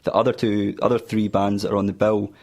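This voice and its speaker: A man's voice: fairly low pitch, a little fast. A man from Fife with a Scottish accent, a guitarist guest talking casually about his band in a radio studio. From Fife, Scotland. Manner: relaxed, says aye, wee.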